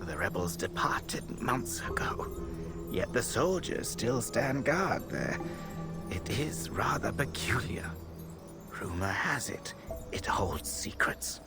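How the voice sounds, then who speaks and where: An actor playing a grave, scholarly male healer in a video game, speaking in a solemn, measured, formal manner. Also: medium pitch, big pitch swings, loud music behind the voice. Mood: pensive, cautioning. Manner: solemn, measured, formal old-fashioned phrasing. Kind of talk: video game cutscene acting.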